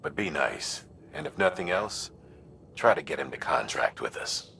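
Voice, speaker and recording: An actor playing a seasoned, supportive mission commander in a video game, briefing in a plain-spoken, folksy way. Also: male voice, a bass voice, big pitch swings, normal speed, creaky, bright, forceful tone, slight background noise, full character voice. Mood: briskly advising.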